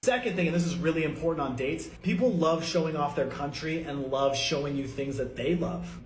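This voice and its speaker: A man's voice: fairly high pitch, a little fast, slight background noise. A man from the United States giving a scripted, upbeat monologue to camera as a vlogger, listing his points. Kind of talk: scripted social media monologue. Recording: clip played with music under it.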